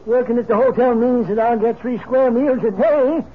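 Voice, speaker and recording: Very high-voiced old Western convict. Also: male voice, normal speed. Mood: grateful. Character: grateful, wistful old Western ex-convict man. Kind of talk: 1950s radio western acting. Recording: thin phone-like 1950s radio recording, faint noise.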